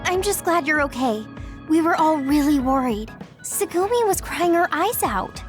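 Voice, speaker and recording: A woman's voice: sits high, expressive, normal speed, soft background music. A woman voicing a sweet, caring young female soldier in an anime-style video game. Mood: relieved.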